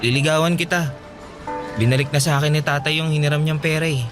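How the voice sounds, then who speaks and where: A man doing a sweet, eager teenage boy with a high, youthful voice, speaking over a steady music bed. He pleads sincerely with the girl he likes in a radio play. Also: fast talker, natural voice. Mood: earnest. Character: earnest teenage boy from a traveling fair, smitten. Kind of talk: radio drama acting. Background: music underneath.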